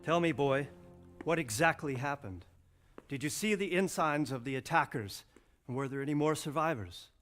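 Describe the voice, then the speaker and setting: An actor playing an authoritative, composed medieval lord in a video game, speaking in a measured way. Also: male voice, fairly high pitch, animated, fairly bright tone. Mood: probing. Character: composed medieval lord questioning a young survivor. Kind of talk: video game cutscene acting. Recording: dramatic music behind the voice.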